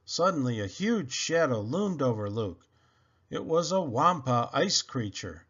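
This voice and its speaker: Guy reading an adventure book out loud, slow pace, bit dull sounding recording. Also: mid-range voice, sing-song, breathy edge. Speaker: storybook reader. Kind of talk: scripted read-aloud storytelling.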